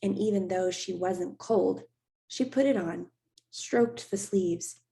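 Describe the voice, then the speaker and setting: Slow, gravelly woman reading a story aloud. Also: medium pitch. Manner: steady, unhurried reading voice. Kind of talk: read-aloud storybook narration.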